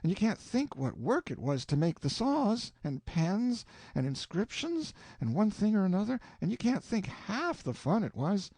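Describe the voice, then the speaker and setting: Rich: An eager, bragging country boy, played by a male reader with a lively, bouncing delivery a little above his usual voice. The sound is dry and close, with a mellow, darkish tone. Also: sits high, moderate pace, hardly any pauses, no echo, pitched above the performer's own voice, exaggerated voice. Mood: boastful. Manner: eager, boastful. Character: excitable boastful young country boy.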